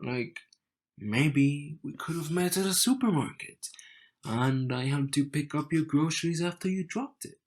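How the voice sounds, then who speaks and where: A man's voice: on the high side, lively intonation. A man playing a cocky, wry and slightly bitter young man in an intimate audio roleplay, teasing and smug as he speaks to the listener. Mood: wistful. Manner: slow, teasing, smug, with sighs and soft chuckles.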